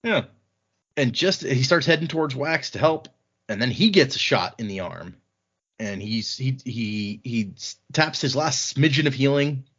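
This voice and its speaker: A male podcast host talks through a novel in a loose, conversational way. His voice sits at a medium pitch and he drops in wry, colloquial asides. The recording is a bit dull and lo-fi. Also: animated, unhurried, a bit bright, husky. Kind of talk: casual chat, informal book recap.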